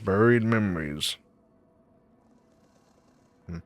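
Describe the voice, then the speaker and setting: A man doing a casual game playthrough, reading dialogue aloud in a low-key, drowsy way. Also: very slow. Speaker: gamer on a playthrough. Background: faint game music.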